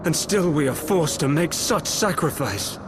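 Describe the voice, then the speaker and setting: A man's voice: a little high, lively intonation, a little slow, background noise. A man playing a solemn, penitent noble knight prince in a fantasy video game, speaking in grave, formal, archaic oratory. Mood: mournful.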